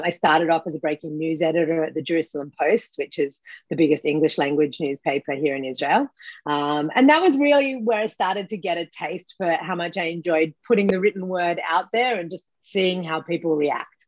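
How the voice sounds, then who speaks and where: A woman's voice: lowish voice, no echo. A woman in her forties with an Australian accent, a guest chatting casually on a video call, with many ums and self-corrections. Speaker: guest, company co-founder and CEO. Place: home office. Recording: dull lo-fi video call audio.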